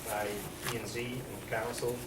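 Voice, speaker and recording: A man's voice: medium pitch, flat delivery, unhurried. Swiss-accented man presenting in a hall. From Switzerland. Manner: hesitant, many ums and restarts. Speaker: developer. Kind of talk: presentation at a public meeting. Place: council chamber. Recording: echoey meeting room from far off, noisy.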